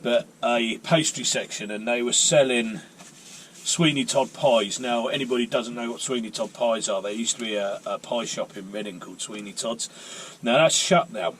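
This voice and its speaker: A man's voice: mid-range voice, moderate pace, a bit bright, slight background noise. Chatty man vlogging. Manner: chatty, rambling, self-mocking. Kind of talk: casual vlog chat. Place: outdoors and in a car on a rainy day.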